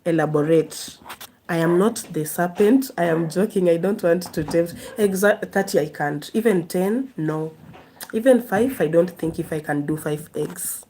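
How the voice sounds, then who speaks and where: A woman's voice: lowish voice, moderate pace, mellow, a little hiss or hum. A woman from Kenya, hosting a casual live stream, chatting and laughing as she answers viewers' comments.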